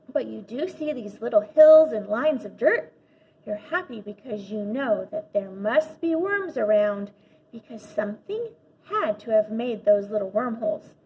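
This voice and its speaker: A woman reading a children's story aloud like a teacher, animated, with dramatic pauses and emphasis. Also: on the high side, dull audio, a little hiss or hum. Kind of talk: expressive children's audiobook-style read-aloud.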